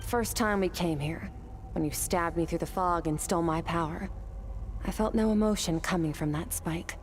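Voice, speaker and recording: An actress playing a cool-headed, resolute swordswoman in a video game, with composed, formal and matter-of-fact delivery. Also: deep voice. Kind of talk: dramatic video game acting. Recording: game music behind the voice.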